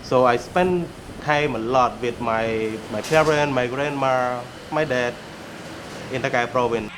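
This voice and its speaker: A man in his thirties with a Khmer accent, speaking haltingly in a documentary interview and searching for words. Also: medium pitch, noisy background. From Cambodia. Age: 30s. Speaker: former student interviewee.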